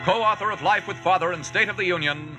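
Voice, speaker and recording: A man's voice: high-pitched, brisk, pushed and bright, soft background music. A man announcing formally in 1940s radio style.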